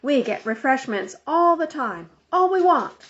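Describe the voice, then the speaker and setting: A woman's voice: high-pitched, big pitch swings, unhurried, pitched above the performer's own voice. Lady doing a stubborn little second grade boy from a storybook, emphatic, kinda muffled recording. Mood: boastful. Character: blunt, defiant little second-grade schoolboy.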